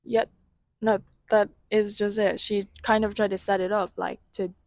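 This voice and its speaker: A young woman with a slight Irish accent, co-hosting a podcast and telling a gossip story in a casual, animated way, swearing and acting out quotes. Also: medium pitch, normal speed, dry recording. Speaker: podcast co-host.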